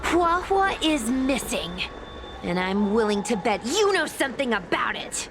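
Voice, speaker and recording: A woman playing an earnest, outspoken young woman in a video game, animated and direct. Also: a little high, measured pace, noisy background. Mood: suspicious.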